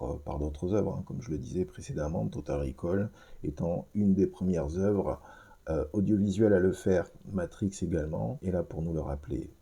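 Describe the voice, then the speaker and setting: A man's voice: deep voice, creaky, mellow, husky. A man in his fifties hosting a film review podcast, talking to listeners in a rambling way with many restarts and fillers. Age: 50s. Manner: addresses listeners directly. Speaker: podcast host.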